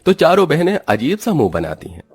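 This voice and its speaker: A man's voice: on the high side, huge pitch swings, a little fast, breathy edge, no room sound. A man reading scripted narration for a children's cartoon story as its narrator.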